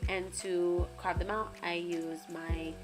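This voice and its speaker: A young woman with a hoarse, raspy voice, chatting casually through a makeup tutorial. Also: on the low side, little pitch movement, takes it slowly. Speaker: beauty vlogger. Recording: voice-over with loud background music.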